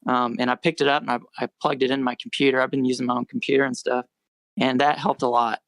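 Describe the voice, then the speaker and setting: A quiet-voiced young American man and musician, chatting in a relaxed, rambling way as a podcast guest. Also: medium pitch, fast, dry recording, low-quality recording. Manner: rambling, self-correcting, relaxed. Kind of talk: casual podcast interview.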